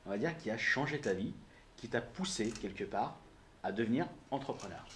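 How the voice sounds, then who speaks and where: A man's voice: medium pitch, animated, brisk, fairly bright tone. Male host, casual and hesitant delivery, roomy recording with faint noise. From abroad, emigrated to France. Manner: informal, uses fillers like "on va dire", hesitant. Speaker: interviewer, podcast host. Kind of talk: casual interview.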